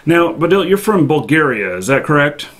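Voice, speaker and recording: A man hosting a casual live-stream interview, speaking informally and haltingly as he thinks aloud. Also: on the high side, sing-song, a bit bright. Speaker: live stream show host.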